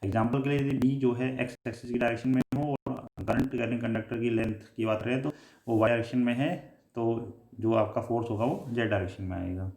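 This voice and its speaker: A man's voice: medium pitch, steady tone, a little mellow. Indian male lecturer in an echoey room. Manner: halting, repetitive explaining with frequent filler phrases. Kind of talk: technical lecture in Hindi mixed with English terms.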